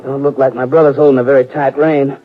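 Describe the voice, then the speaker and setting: An actor playing a smug, mocking young cowhand in an old radio drama. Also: male voice, medium pitch, flat delivery, quick pace, crowd noise, natural voice. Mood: cocky. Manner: mocking and cocky. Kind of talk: 1950s radio drama acting. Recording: dull old radio broadcast.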